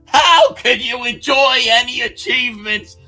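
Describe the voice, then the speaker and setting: A man's voice: very high-pitched, measured pace, pushed and bright, soft background music, voice pushed up, full character voice. A man voicing a bitter, destitute, wronged writer, reading his angry letters aloud in a melodramatic, theatrical way. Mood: anguished, accusing. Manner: melodramatic, theatrical, swinging from outrage to near-whisper.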